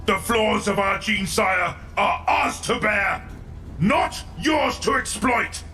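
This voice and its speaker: Strained high-pitched, defiant warrior chaplain. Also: male voice, takes it slowly, faint music behind, full character voice. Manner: anguished, solemn, forceful declamation. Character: tormented, defiant warrior chaplain resisting temptation. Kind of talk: dramatic audio drama acting.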